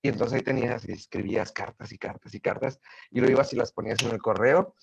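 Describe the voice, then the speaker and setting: A middle-aged man, a guest in a casual interview, telling stories in an animated way with many fillers and restarts. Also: on the low side, fast talker, vocal fry, breathy edge, muffled recording.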